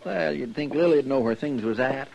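An actor playing a homespun, plain-talking deputy with a rural drawl in a 1950s radio drama. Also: male voice, medium pitch, moderate pace, creaky, darkish tone, close to an everyday voice, poor audio. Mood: fretting. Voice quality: folksy rural drawl, ungrammatical. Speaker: marshal's deputy. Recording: old radio broadcast with noise.

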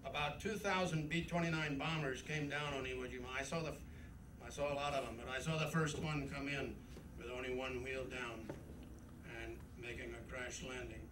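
A man's voice: mid-range voice, steady tone, fairly quick. An older man giving a rambling, emphatic war memoir talk at a club meeting, with frequent fillers. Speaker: guest speaker. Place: club meeting room, indoors. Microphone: podium mic, camera from across room. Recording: roomy, distant camcorder audio with noise.

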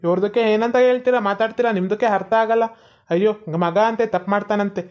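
Brief: a man as a brash, fast-talking guy mangling his Kannada, dull lo-fi sound. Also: high-pitched, close to an everyday voice. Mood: arguing. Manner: odd broken phrasing, cheeky. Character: brash young man speaking broken Kannada. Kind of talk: comedy skit acting.

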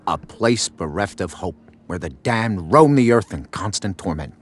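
An actor playing a gung-ho, loyal ghost hunter in a video game, eager and theatrical. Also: male voice, deep voice, animated, a little slow. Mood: grimly relishing. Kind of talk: video game cutscene acting. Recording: roomy, noisy game ambience.

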